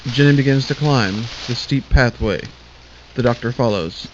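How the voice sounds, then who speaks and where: A man's voice: mid-range voice, normal speed, noisy background. Matter-of-fact male drama narrator. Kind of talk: scripted narration. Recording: lo-fi fan audio drama.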